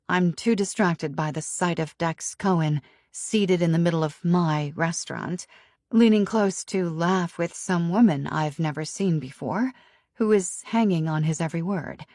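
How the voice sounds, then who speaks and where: A woman narrating a romance audiobook in first person with an expressive, emotionally charged reading. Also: lowish voice, no room sound, clean studio sound.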